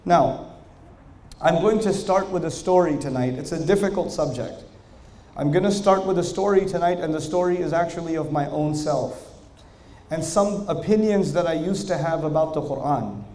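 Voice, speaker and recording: A man's voice: a little high, moderate pace, mellow. A man giving a religious lecture to an audience, telling his own story in a conversational way, with rhetorical questions and rising emphasis. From Pakistani family, raised in Germany, Saudi Arabia and New York. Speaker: Islamic lecturer. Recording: echoey hall.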